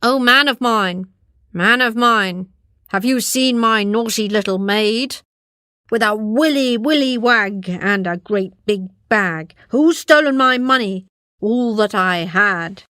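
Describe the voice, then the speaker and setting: Nasty old witch done by a lady reader, slow angry rhyming chant, fairly high voice. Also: bright, forceful tone, clean tone, dry recording, clean studio sound. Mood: angry wailing. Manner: chanting in slow sing-song rhyme. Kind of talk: storybook character voice.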